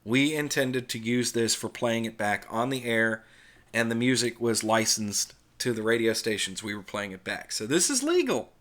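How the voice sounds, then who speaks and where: A man's voice: medium pitch, sing-song, normal speed, fairly bright tone. A middle-aged man with an American accent gives casual, unscripted tutorial narration in a conversational, explanatory way, as the host of a video. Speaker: video host, former radio worker.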